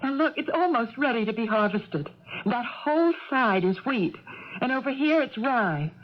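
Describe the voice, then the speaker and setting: A woman plays a hopeful researcher in an old radio play. Her fairly high voice moves animatedly as she explains eagerly. The sound is muffled and somewhat noisy. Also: animated, moderate pace, natural voice. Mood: excited, hopeful. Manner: enthusiastic, explaining. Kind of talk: radio drama acting.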